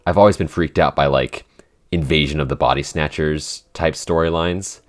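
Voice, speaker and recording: Very deep-voiced man, halting casual chat. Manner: halting, rambling, frequent "like". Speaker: podcast creator and co-host answering listener questions.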